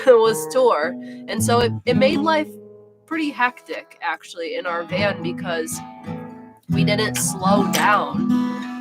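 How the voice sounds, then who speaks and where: A young woman co-hosting a casual live stream, chatty and laughing easily, her voice raspy and congested. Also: lowish voice.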